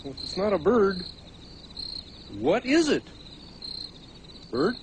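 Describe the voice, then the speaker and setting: A man's voice: high-pitched, sing-song, slow, background noise, heavily acted. A man voicing a chatty, eager, pestering puppet camper in a comedy sketch, playful and full of questions. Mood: eager, curious.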